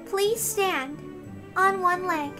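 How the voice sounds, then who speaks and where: A woman voicing a cruel, coldly polite young lady who gives slow, deliberate commands. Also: extremely high pitch, over music, voice pushed up, exaggerated voice. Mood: cruelly polite.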